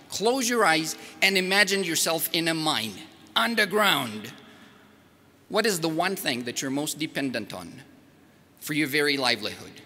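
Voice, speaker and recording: A middle-aged man from Moldova with a Russian accent, a native Russian speaker, giving an animated, jokey conference presentation at a podium mic in a large ballroom. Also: fairly high pitch. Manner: animated, jokey, rising loud on rhetorical questions. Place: large hotel ballroom with audience. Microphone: podium mic, filmed by far camera. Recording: echoey ballroom with long reverb.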